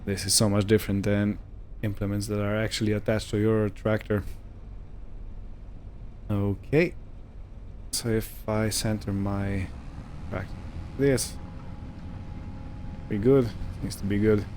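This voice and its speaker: Low, mellow man thinking aloud. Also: flat delivery, unhurried, long pauses, a little hiss or hum. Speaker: gamer narrating own farming simulator playthrough. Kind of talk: casual let's-play commentary, thinking aloud.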